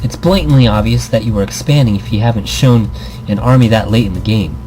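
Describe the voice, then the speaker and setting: A man voicing a sharp-tongued female pro gamer mentor in a visual novel, blunt, sarcastic and critical, with music behind. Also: lowish voice, brisk, lightly acted, low-quality recording. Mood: exasperated, critical.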